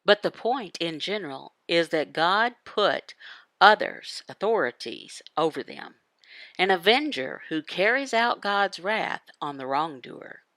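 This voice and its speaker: A woman giving a devotional Bible teaching in emphatic, halting phrases, asking questions and answering them. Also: lowish voice, lively intonation, fairly bright tone, no room sound. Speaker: voice interpreter for a signed devotional. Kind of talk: devotional Bible teaching, voiced from sign language.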